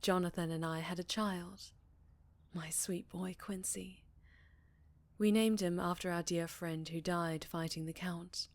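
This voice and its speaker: An actress plays a sorrowful, haunted vampire woman with a Southern accent, recounting her tale in an intimate ASMR roleplay. Her voice is lowish and very unhurried, often sinking into breathy whispers. The sound is close and dry. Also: steady tone, no room sound. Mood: wistful, recounting.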